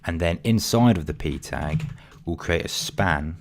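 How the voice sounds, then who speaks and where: A man, voice getting croaky, talking through a coding tutorial casually with many ums and apologies. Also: low voice, measured pace, slight background noise. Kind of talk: screen-recorded coding tutorial.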